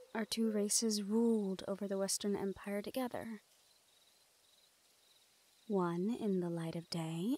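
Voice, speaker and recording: A woman's voice: medium pitch, warm tone. Woman acting a fond, teasing fantasy thief. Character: teasing, protective young female shadow-folk thief, fond and confident. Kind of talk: intimate fantasy audio roleplay acting.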